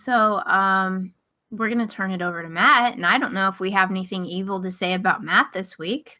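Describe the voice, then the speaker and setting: A woman's voice: medium pitch, fairly even intonation, fairly quick. Woman, casual, on slightly dull audio. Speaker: podcast co-host. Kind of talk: casual chat.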